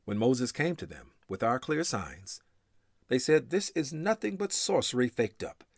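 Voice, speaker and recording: A man reading scripture aloud in a solemn, formal and measured recitation style. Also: mid-range voice, sing-song, gravelly, no room sound, studio quality. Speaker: scripture reader.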